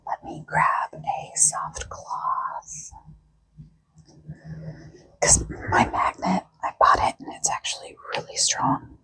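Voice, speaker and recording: A woman who has lost her voice to a cold, talking casually in a breathy, husky whisper as she thinks aloud while hosting a hobby vlog. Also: pushed and bright, low-quality recording. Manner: informal, unhurried, musing. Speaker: host of a makeup video.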